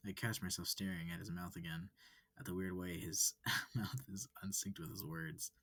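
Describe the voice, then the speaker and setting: Soft husky man reading a story. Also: sits low, fairly even intonation, moderate pace, no echo. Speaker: reader. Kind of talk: cozy visual novel narration.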